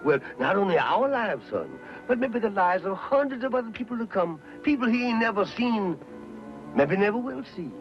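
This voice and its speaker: A talkative frontier preacher from an old Western, with a Southern drawl and a slightly high voice. He sermonizes earnestly in rustic phrases about souls and the Lord. The sound is muffled, with music clearly behind him. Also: big pitch swings, normal speed. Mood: fervent, preaching. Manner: folksy, sermonizing, rustic speech. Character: folksy frontier preacher, earnest, pious, talkative soul-saver. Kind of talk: Western film acting.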